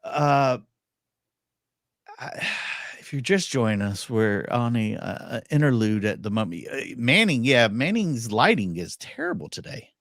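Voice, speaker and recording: A man's voice: mid-range voice, measured pace, gravelly, dry recording, clean studio sound. A man co-hosting a court commentary live stream, talking casually and throwing out rhetorical questions. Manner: animated, exclaiming, rhetorical questions.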